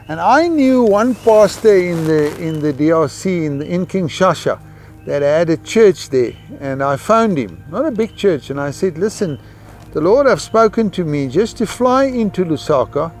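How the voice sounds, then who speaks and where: A middle-aged man shares a personal testimony in a South African Afrikaans accent. His voice sits a little higher than most men's. He speaks reflectively, pausing often as he searches for words, while soft music plays behind him. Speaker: missionary giving a testimony. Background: background music.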